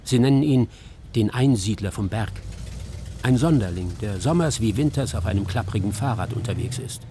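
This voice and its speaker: A man narrating a scripted radio documentary in a measured, descriptive storytelling manner. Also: on the low side, expressive, mellow, a little hiss or hum. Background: music.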